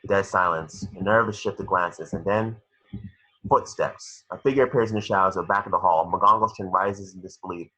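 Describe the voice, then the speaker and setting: A man reading screenplay stage directions as a narrator, with a raspy voice. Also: deep voice, brisk, sharp tone, rough recording. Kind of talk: scripted narration.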